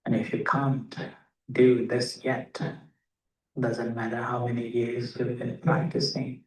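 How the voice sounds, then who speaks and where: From across an echoey room, a man leads a guided meditation. His delivery is slow and measured, with pauses between short phrases. He sounds like a teacher giving step-by-step instruction. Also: mid-range voice, completely flat delivery. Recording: roomy echo, distant microphone.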